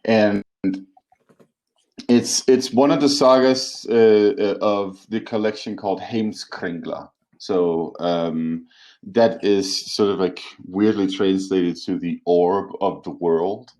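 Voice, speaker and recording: A man talking as an academic podcast co-host, explaining things informally in a halting, thoughtful way. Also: deep voice, steady tone, darkish tone.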